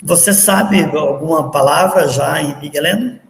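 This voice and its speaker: A man hosting a radio program, asking slow, deliberate interview questions. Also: a little high, gravelly. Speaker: radio program host and professor. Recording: echoey radio studio, long reverb, faint music.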